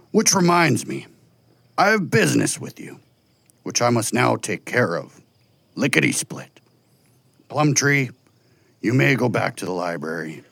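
Gravelly, teasing giant furry beast. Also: male voice, fairly bright tone, no room sound, heavily acted. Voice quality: gravelly, husky. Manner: unhurried, booming, playfully teasing. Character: huge furry beast, teasing, jovial council caretaker.

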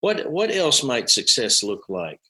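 A man, a pastor, speaking in a conversation, slow and deliberate, with some hesitations. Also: mid-range voice, wildly swooping pitch, pushed and bright. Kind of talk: conversational interview.

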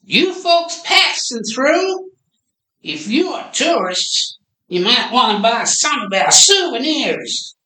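A grizzled old-timer acted by a man from a comic radio play, working a lonely gas station. He talks very slowly in a bright, rather high voice with a lot of swoop, chuckly and folksy, in a roomy recording. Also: sing-song, heavily acted. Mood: folksy, eager. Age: older. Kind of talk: amateur radio play acting. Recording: roomy echoey amateur recording.

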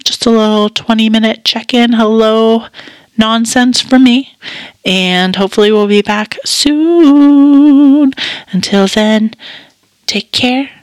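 A woman hosting a casual solo podcast check-in, chatty and self-deprecating, slightly raspy from a sore throat. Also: a little high, slow pace, no room sound. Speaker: podcast co-host.

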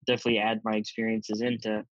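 Low-voiced young man, easygoing talk. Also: completely flat delivery, quick pace, fairly bright tone, no echo, muffled recording. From Zambia, raised in the US Midwest. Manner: easygoing, many fillers like "you know". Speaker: interviewee. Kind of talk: casual interview.

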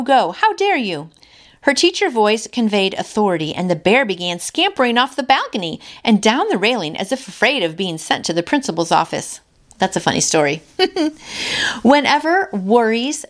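A woman hosting a devotional, reading and commenting in an encouraging, friendly way that speaks directly to listeners. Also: medium pitch. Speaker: devotional podcast host. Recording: voice over background music.

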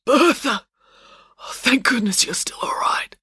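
Woman putting on a gasping old woman professor, injured spy, urgent, breathless, raised pitch, children's audiobook. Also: normal speed, fairly bright tone, dry recording, clean studio sound. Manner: breathless, labouring to get words out.

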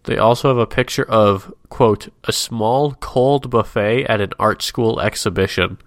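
A fairly low-voiced man with a stuffy nose chats on a podcast, wry and rambling, easily amused by his own asides. The sound is dry and close, with no room around it. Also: a little slow, no room sound. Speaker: podcast co-host. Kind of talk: casual chat, describing a comic.

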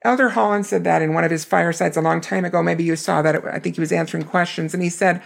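Woman, hoarse, gravelly, earnest scripture teacher, emphatic delivery. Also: lowish voice, fairly even intonation, fast talker. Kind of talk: scripture lesson.

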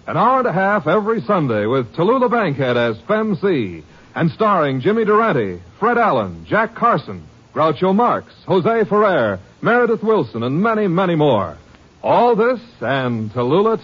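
A man's voice: a little high, very animated, moderate pace, slightly rough. A man reading a scripted network promo in 1950s radio announcer style. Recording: muffled 1950s radio broadcast.

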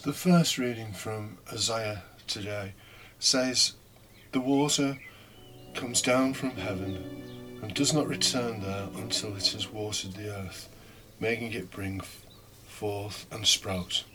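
Male preacher, lowish voice, slow and considered, bright tone. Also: faint music behind, poor audio. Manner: unhurried, thoughtful, with pauses. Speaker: homilist. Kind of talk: reflective homily.

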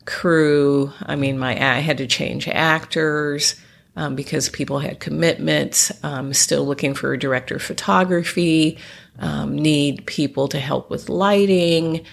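A woman's voice: sits low, gravelly. A woman hosting her podcast alone, sounding congested and nasal as she recovers from illness, rambling with many ums and restarts. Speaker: podcast host. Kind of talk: casual solo podcast talk.

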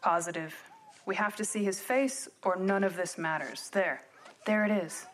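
A woman playing a brisk, cunning detective in a noir radio drama, with a wry, hard-boiled delivery. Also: mid-range voice, slight background noise, close to an everyday voice. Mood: calm, hushed. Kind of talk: radio drama, noir first-person narration. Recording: music under the voice. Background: music.

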